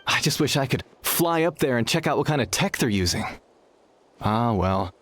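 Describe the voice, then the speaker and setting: A man's voice: medium pitch, lively intonation, normal speed, fairly bright tone, slight background noise, natural voice. An actor playing a young male arena worker in a video game, practical and easygoing, explaining things conversationally. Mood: curious, resigned. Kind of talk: video game dialogue acting.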